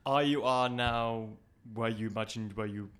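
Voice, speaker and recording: A man's voice: medium pitch, fairly even intonation, moderate pace, a bit bright, smooth. A man joining a casual chat, mostly chiming in with brief, teasing remarks.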